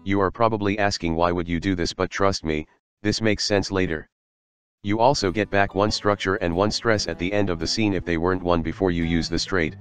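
Low-voiced man reviewing a game. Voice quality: casual, swears for emphasis. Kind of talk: scripted voice-over review. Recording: light guitar music behind.

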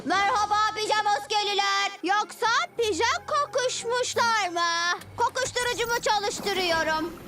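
A woman plays a bratty boy villain in a cartoon, with a very high voice. He is a boastful mad inventor who taunts everyone, over loud music. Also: a little slow, few pauses. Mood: gloating, taunting. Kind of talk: cartoon dub acting.

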